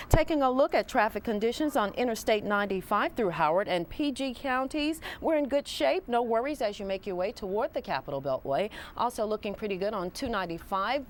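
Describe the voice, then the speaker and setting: A woman giving a live morning traffic report in an upbeat manner. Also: on the high side.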